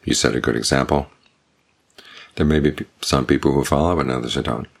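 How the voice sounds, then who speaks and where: A man, a Buddhist monk, giving a measured, deliberate dharma talk. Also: rumbling low, vocal fry, slightly rough.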